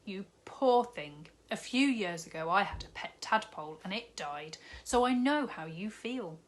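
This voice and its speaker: A woman voicing a big, jolly, kindly male shopkeeper in a storybook reading, warm and fatherly. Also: mid-range voice, lively intonation, unhurried, lightly acted.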